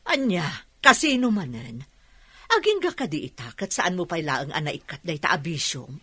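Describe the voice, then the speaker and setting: A stern, nagging sister in a radio drama. Her lowish voice is sharp and animated, with a husky edge and an Ilocano accent. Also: normal speed, full character voice. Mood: scolding. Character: stern, nagging sister scolding a spoiled sibling.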